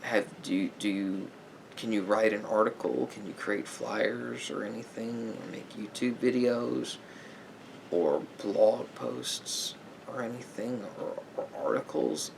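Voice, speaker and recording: Slow, halting man as a gentle therapist. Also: fairly low pitch, gravelly, a little hiss or hum, rough recording. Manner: halting, repetitive, hedging, gently advising. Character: calm, sympathetic male therapist counselling a client. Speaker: ASMR performer playing a therapist. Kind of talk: ASMR roleplay of a therapy session.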